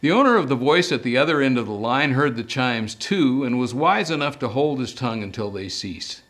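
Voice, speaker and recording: A man reading detective fiction aloud as an author, in a measured, deliberate storytelling manner. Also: medium pitch, lively intonation, a bit bright. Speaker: author reading from own book. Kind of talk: scripted narration, audiobook-style reading of a detective novel.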